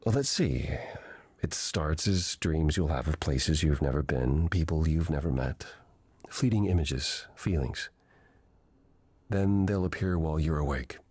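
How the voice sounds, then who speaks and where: An actor playing an ancient, weary god in a video game, speaking as a gentle, patient mentor in a measured, reflective way. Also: male voice, rumbling low, husky, no echo, heavily acted. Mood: patient, reassuring.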